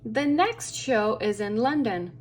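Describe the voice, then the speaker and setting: A woman reading a children's picture book aloud as a storyteller, with expressive, deliberate phrasing for young listeners. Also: on the high side, slight background noise.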